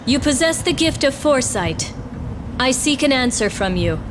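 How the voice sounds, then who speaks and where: An actress playing a sorrowful, dignified young royal in a fantasy game, earnest and formal. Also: female voice, high voice, big pitch swings, normal speed, big-room sound, noisy background. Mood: resolute. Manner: earnest, formal, archaic phrasing. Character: sorrowful, dignified young royal woman, gentle but resolute. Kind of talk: theatrical fantasy game drama.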